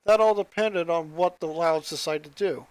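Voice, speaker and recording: Male story narrator, high-pitched voice, close dry recording. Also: normal speed, clean tone, no echo, rough recording. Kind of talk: scripted story narration, fan fiction reading.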